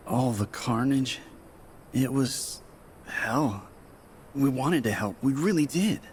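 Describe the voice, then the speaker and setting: Haunted rebel fighter, expressive. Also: male voice, medium pitch, slow, slight background noise. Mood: haunted, defensive. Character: haunted, fervent rebel fighter recalling disaster. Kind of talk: dramatic video game narration. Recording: loud dramatic music behind.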